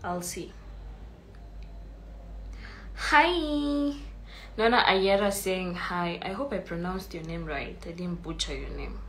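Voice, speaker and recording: A woman's voice: on the low side, slow pace, pauses often, fairly bright tone, a little hiss or hum. A woman with a Kenyan accent hosting a casual live stream, chatty and greeting viewers by name, a little congested from an oncoming flu. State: coming down with a flu, clearing her throat.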